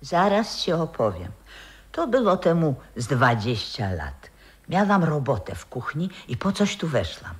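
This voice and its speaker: Slow, husky old grandmother, wistful. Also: deep voice, big pitch swings, heavily acted. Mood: mysterious. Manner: halting, theatrical, dreamy reminiscing. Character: wistful proud old grandmother, former opera singer. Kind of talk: 1960s radio play acting.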